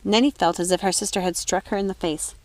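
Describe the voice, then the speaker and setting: A woman's voice: fairly low pitch, steady tone, fast talker. A woman reading a storybook aloud as an audiobook narrator. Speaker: audiobook reader.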